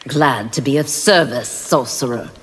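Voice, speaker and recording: A woman's voice: very deep voice, measured pace, heavy background noise. A woman playing a righteous, defiant female demon warrior in a video game, speaking firmly and formally. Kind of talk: video game combat banter. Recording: echoing hall, clear music behind.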